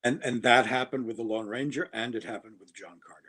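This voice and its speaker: A man speaking at an ordinary mid pitch, chatting casually as a podcast guest. He rambles with enthusiasm, stopping and restarting his sentences, and fills the gaps with ums and uhs. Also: fast talker, a bit bright, breathy edge. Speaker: guest actor.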